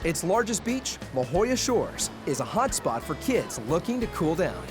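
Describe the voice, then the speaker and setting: Lively male documentary narrator. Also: a little high, moderate pace. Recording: music bed under voice-over.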